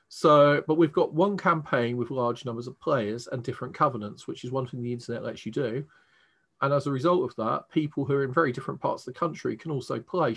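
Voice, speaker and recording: A man's voice: mid-range voice, a bit bright, slightly rough. A man with an English accent, casually explaining a tabletop roleplaying game as its game master.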